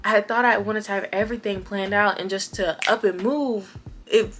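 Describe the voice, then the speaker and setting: A woman, chatting casually to camera as a vlogger, animated and repeating words for emphasis. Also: medium pitch, moderate pace. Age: 20s.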